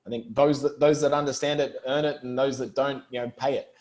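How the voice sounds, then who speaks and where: A man with an Australian accent and an average-pitched voice speaks as a guest on an interview show. He explains things conversationally and informally, dropping in casual filler phrases. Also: fairly even intonation, normal speed, a little mellow. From Brisbane, Australia. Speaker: interview guest, marketing entrepreneur.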